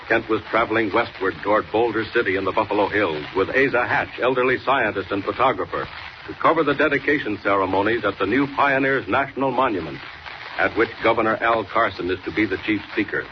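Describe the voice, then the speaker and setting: A man's voice: fairly low pitch, fast. A man announcing a 1940s radio serial, reading scripted narration in a dramatic, declamatory way. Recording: dull, noisy old radio recording.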